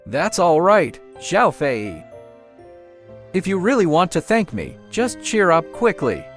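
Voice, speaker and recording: Man acting a lively young coffee shop owner. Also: sits high, slow pace, soft background music, full character voice. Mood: gentle, teasing. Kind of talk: romance comic dub acting.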